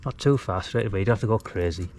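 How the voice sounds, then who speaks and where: A British man with a fairly low voice talks through a practical job step by step. His delivery is informal and chatty, like an easygoing DIY presenter. There is some noise behind him in the on-location recording. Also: very fast, a little mellow. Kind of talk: casual hands-on how-to commentary. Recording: clearly noisy on-location recording.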